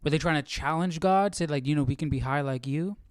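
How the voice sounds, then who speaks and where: A man with a fairly high, very clear voice gives informal commentary as a livestream host. He muses and thinks aloud conversationally. The sound is dry, with no room echo. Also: a little fast. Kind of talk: casual reaction commentary.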